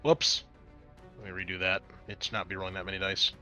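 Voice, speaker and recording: A man with a bright, forceful voice runs a tabletop role-playing game. He moves between improvised scene narration and explaining rules. Music plays faintly behind him. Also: deep voice, flat delivery, quick pace. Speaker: game master.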